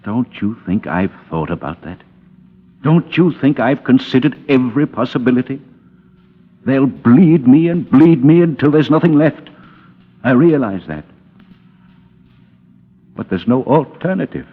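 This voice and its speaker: An actor playing an anguished middle-aged American businessman in a vintage radio drama, pleading and halting, cornered by blackmailers. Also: medium pitch, expressive. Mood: desperate. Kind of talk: 1940s-50s radio drama acting. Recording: muffled old radio recording with hall reverb.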